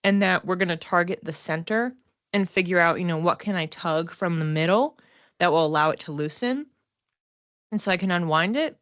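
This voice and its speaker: A woman giving a casual livestream psychic reading, talking to viewers conversationally and thinking aloud in halting phrases. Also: on the low side, dry recording, highs cut off. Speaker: psychic reader and class teacher.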